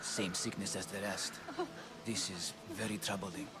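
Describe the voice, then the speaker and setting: An actor playing a grave, devout ancient Greek priest and healer with a solemn, measured manner in a video game. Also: male voice, low-pitched, steady tone. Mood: troubled. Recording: game music behind the voice.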